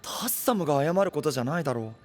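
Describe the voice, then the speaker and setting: Casting: naive young anime hero, slightly high boyish voice, blunt and sincere, light music behind. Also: male voice, lively intonation, fast talker. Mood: earnest. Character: earnest, simple-minded young male hero who speaks plainly. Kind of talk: anime-style audio drama acting.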